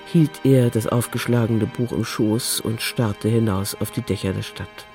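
Mellow male radio drama narrator. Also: medium pitch.

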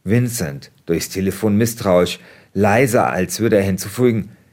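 A man's voice: fairly low pitch, unhurried, a little mellow, a little hiss or hum. A man hosting a podcast, switching between reading a script aloud and casual chat. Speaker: podcast host.

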